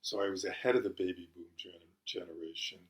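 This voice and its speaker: An older man in his seventies, from California, is interviewed about his school years. His voice sits very low, and he talks in a relaxed, unhurried way with small pauses. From California, USA. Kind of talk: casual reminiscing.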